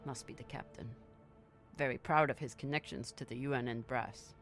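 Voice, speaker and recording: Dry terse spaceship crew type, voiced by a guy, lots of gravel in the voice, pragmatic, game acting. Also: mid-range voice, moderate pace, fairly bright tone, soft background music, natural voice.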